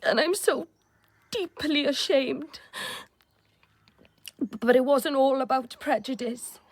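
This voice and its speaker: High-voiced, earnest British wife. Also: female voice, slow, dry recording. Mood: earnest, remorseful. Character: earnest, passionate doctor's wife with strong convictions. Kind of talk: radio drama acting.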